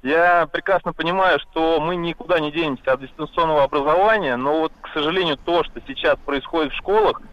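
A man's voice: on the high side, fairly quick, hardly any pauses. A man in his forties phoning a radio show to give his opinion, in formal but hesitant wording. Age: 40s. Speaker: phone-in caller, parent. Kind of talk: giving an opinion on air. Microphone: phone. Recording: dull lo-fi phone call.

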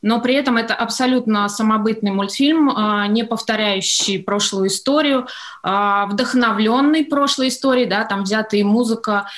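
A woman's voice: a little high, moderate pace, a bit bright. A woman answering as a guest in a radio interview, explaining hesitantly and piecing her thoughts together as she goes. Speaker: interview guest, studio executive.

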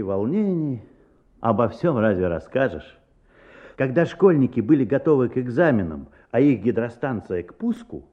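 A storyteller narrating a children's radio drama. He is animated at a middle pitch, on a muffled old recording. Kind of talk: Soviet radio play narration.

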